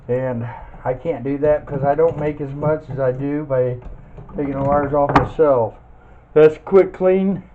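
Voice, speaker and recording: A thin, phone-like recording with clear noise behind it carries a man's somewhat high voice. He is plainspoken and folksy, talking through a hands-on task in a casual tutorial style. At times he mutters to himself as he works. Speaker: scrap metal hobbyist demonstrating teardown. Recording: muffled phone-like audio, loud background noise.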